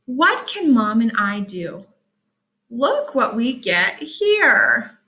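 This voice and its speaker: A cheerful, curious little girl tells her picture-book story, read by a woman. The voice is bright and a bit high, with slow, simple, expressive phrases spaced for early readers. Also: heavily acted.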